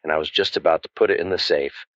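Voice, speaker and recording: An actor playing a cynical, wisecracking private detective in a deadpan, sardonic 1940s radio noir style. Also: male voice, sits low, fast talker, background noise, close to an everyday voice. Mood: grim narration. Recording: dull lo-fi sound over music.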